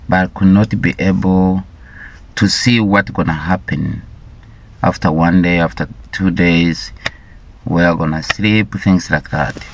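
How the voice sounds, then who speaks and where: Slow, deep-voiced man from Rwanda. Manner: deliberate, halting, repeats words. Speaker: vlogger sharing his life story. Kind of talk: slow personal storytelling. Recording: dull lo-fi recording.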